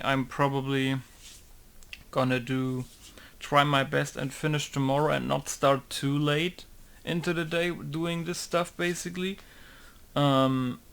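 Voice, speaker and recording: A man's voice: medium pitch, a bit bright. Man muttering while modding a game. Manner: thinking aloud, repeating test words. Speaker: game modder. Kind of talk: casual commentary while working.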